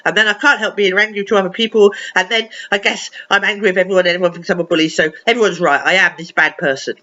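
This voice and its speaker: A woman's voice: on the low side, lively intonation, fast talker, pushed and bright, slightly rough, highs cut off, exaggerated voice. Woman voicing a hurt, childlike bundle of anger. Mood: hurt, ranting. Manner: childlike venting, run-on complaints. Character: big hurt angry male superpower, a child's emotion.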